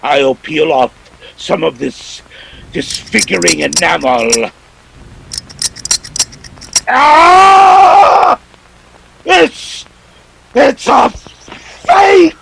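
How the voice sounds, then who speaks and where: An actor playing a jovial, pompous, scheming older crime boss with florid, courtly speech in a detective radio play. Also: male voice, sits high, extremely slow, creaky, long pauses, background noise, full character voice. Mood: feverish excitement.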